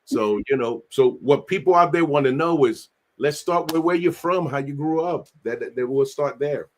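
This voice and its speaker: A man, hosting a podcast with energetic, streetwise talk sprinkled with Spanish. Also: on the high side, normal speed. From New York. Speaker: podcast host. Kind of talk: casual interview chat.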